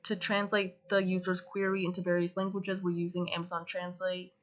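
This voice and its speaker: A female student presenting her group's solution, going through the features in an even, methodical way. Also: fairly low pitch, fairly quick. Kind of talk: class project presentation.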